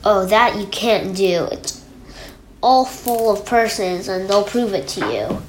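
A woman's voice: mid-range voice, takes it slowly, close to an everyday voice. A woman voicing an earnest, kindly, loyal male elephant for a storybook, pleading and urging. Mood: alarmed, pleading. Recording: roomy echo with clear background noise.

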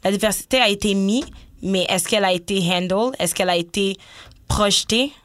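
A woman's voice: medium pitch, a little fast, fairly bright tone, no echo, clean studio sound. A young woman with a Quebec French accent talking casually as an interview guest, emphatic and slangy, mixing in English words. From Quebec, Canada. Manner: emphatic, slangy, sprinkles English words, often seeks agreement. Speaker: guest, former reality show contestant.

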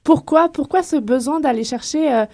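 A woman's voice: high-pitched, normal speed, darkish tone. A woman, interviewing a guest in a conversational, prompting way. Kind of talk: radio or TV interview questions.

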